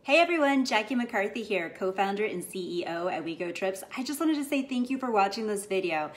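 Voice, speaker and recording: A woman who is a company's chief executive reads a short, bright promotional sign-off thanking viewers. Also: mid-range voice, lively intonation, fairly quick. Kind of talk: scripted channel outro.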